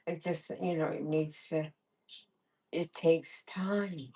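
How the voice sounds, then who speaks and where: A woman, the vlogger's mother, answering softly and hesitantly. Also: sits low, steady tone, takes it slowly, husky, distant mic.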